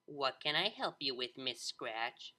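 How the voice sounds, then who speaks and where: A woman's voice: rumbling low, very animated, unhurried, bright, forceful tone, heavily acted. A woman playing a friendly, distinguished older pony official in an audio story, composed and authoritative. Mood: pleasant.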